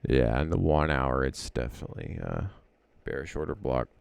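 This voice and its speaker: A man's voice: a bass voice, moderate pace, gravelly, clear, resonant tone, dry recording. A man casually thinking aloud as he walks through trading charts, rambling and correcting himself as he goes. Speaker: trading educator.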